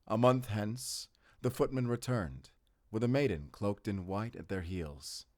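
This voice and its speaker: A man acts out a fairy-tale teller with a low voice in a dry, close space. He speaks in measured, theatrical, old-fashioned phrases. The tone is fond and foreboding, made for a cinematic audio roleplay. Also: darkish tone, no echo, close to an everyday voice. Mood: measured, suspenseful.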